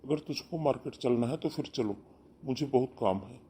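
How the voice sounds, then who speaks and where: A man's voice: mid-range voice, moderate pace, dark tone, a little hiss or hum, lightly acted. A man voicing an impatient young husband in a cartoon who speaks brusquely. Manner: brusque, irritated. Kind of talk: cartoon voice acting.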